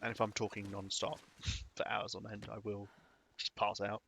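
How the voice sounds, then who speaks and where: A man's voice: on the low side, completely flat delivery, moderate pace, gravelly, background noise. A young British man chatting casually while streaming a game, rambling and chuckling between remarks. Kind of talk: live gaming commentary.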